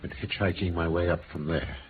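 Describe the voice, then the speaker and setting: An actor playing a bitter, quiet gray-haired con man of nearly sixty in a vintage radio drama. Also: deep voice, steady tone, a little fast, natural voice. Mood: earnest recounting. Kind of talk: 1950s radio drama acting. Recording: muffled vintage radio recording, faint hiss.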